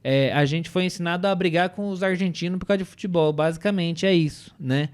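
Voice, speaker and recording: A man's voice: sits high, a little fast, clear, resonant tone. A young adult man with a Brazilian accent, giving strong opinions in a casual panel chat, tagging sentences with "né?". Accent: Brazilian Portuguese. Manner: opinionated, emphatic, many "né?" and "entendeu?" tags. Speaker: podcast panelist.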